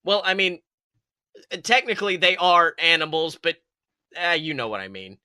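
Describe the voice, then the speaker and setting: A man voicing a bright-voiced, quippy young fox. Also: fairly high pitch, big pitch swings, moderate pace, no echo, clean studio sound, exaggerated voice. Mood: defensive. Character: young male fox, wisecracking, hot-headed, brave. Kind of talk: storytelling character voice.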